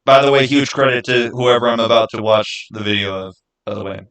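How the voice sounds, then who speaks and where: A man's voice: medium pitch, little pitch movement, a bit bright, clean tone. Man chatting casually on a livestream. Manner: halting, rhetorical questions to viewers. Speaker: livestream host.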